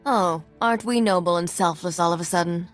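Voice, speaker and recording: An actress playing a teasing, sarcastic smuggler's sidekick in a video game. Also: female voice, lowish voice, brisk, faint music behind, natural voice.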